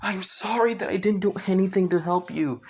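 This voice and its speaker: A man's voice: high-pitched, brisk, pitched above the performer's own voice. A man voicing a frightened young woman fighter in a raised, strained, urgent voice. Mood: pleading.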